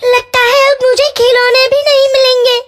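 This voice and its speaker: A woman's voice: extremely high pitch, flat delivery, moderate pace, loud music behind the voice. A woman voicing a small, pleading village boy in a cartoon story, with a childlike manner. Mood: sad.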